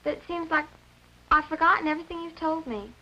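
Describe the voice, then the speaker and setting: A woman's voice: high-pitched, quick pace, sharp tone, muffled recording. A woman playing an eager, innocent American girl of about twelve in a 1950s educational film, speaking in an earnest, exclamatory way. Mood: shyly excited.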